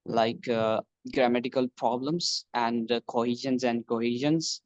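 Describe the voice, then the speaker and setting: A young man from Pakistan speaks in careful, halting English, often stopping to restart a phrase. His voice is mid-pitched and sounds dry and close, with little room around it. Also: steady tone, no room sound, muffled recording. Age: young adult. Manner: hesitant, many restarts and fillers. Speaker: computer science student. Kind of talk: casual practice conversation in English.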